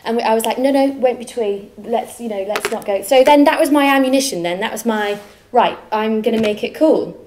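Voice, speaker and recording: A woman's voice: a little high, a little fast, darkish tone, roomy sound, slight background noise. A young woman from Wales with a faint Welsh accent, giving a chatty, self-deprecating talk in a lecture theatre, full of fillers and restarts. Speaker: guest lecturer. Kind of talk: conference talk.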